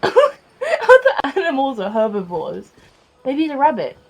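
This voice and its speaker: Giggly, high-pitched young woman, animated. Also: unhurried. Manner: giggly, playful. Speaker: co-commentator. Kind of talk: casual joking chat over gameplay. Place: online voice call. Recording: noisy low-quality voice call.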